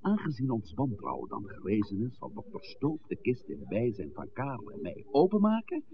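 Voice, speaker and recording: An actor playing a smooth, self-assured, scheming male village priest with a formal, suave manner in a Dutch radio drama on an old recording. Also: medium pitch, big pitch swings, normal speed, a crowd in the background. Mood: sly, confident. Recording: narrow-band, noisy old 1960s radio recording.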